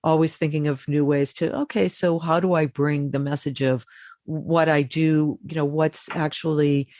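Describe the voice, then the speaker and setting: Chatty low-voiced woman voice actor. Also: no echo, studio quality. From Boston, United States. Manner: chatty and animated, quick restarts. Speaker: voice actor guest. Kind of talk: conversational interview.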